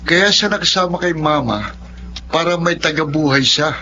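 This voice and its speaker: A dull recording with music holds a slightly high young male voice with a Filipino accent. a headstrong, indignant son in a radio drama, guarded and protective of his family. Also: moderate pace, bright, forceful tone. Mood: bitter, suspicious. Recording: dull lo-fi audio under clear music.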